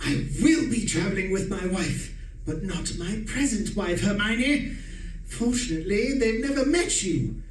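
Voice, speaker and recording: Man as a calm, gloating wife-killer for a radio play, higher voice, roomy off-mic sound. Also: a little slow, distant mic, a little hiss or hum. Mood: scheming. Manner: calm, menacing, taunting. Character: cold scheming husband planning murder. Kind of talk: amateur radio drama acting. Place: live stage performance. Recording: roomy stage recording from off mic.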